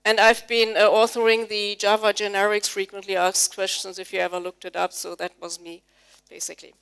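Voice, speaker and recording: A woman whose first language is German lectures a conference audience, explaining step by step and stopping to ask the room questions. Her voice is smooth and clear, at a middle pitch for a woman. She sounds middle-aged. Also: level tone, brisk, fairly bright tone. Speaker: conference speaker, Java trainer. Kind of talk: live technical conference talk. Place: conference hall before an audience.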